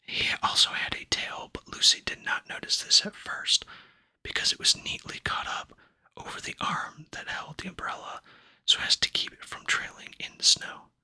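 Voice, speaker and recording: A man whispering an ASMR reading of a children's fantasy novel, gentle and unhurried, with pauses between phrases, close to the microphone. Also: dry recording. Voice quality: breathy unvoiced whisper. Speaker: ASMR book reader.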